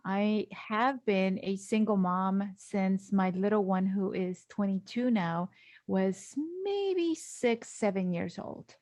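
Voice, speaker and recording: A middle-aged woman from Guatemala shares personal stories as a podcast guest. She speaks slowly at a medium pitch, earnest and emphatic, often repeating a phrase for weight. The sound is dry, with no room echo. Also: darkish tone. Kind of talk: personal storytelling in an interview.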